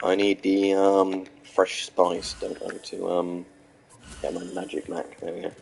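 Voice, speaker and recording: Low-voiced man rambling through a game stream. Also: monotone, measured pace, gravelly, slight background noise, rough recording. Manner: rambling, answers chat questions between gameplay remarks; hesitant, many ums and restarts. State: sore throat and blocked nose. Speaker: gaming streamer. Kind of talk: casual live-stream commentary while playing.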